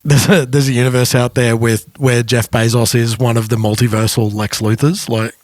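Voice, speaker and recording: A man with a medium-pitched voice talks in a very dry recording. He is a podcast co-host with an enthusiastic, slightly nerdy, conversational manner. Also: level tone, normal speed, no echo. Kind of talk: casual chat.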